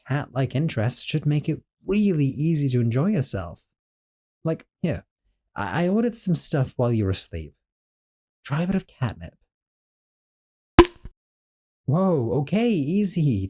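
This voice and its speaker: Man voicing a young male sorcerer roommate, doting, gentle, flustered, warm mellow tone, lively pitch, audio roleplay. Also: normal speed. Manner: soft, doting, a little flustered.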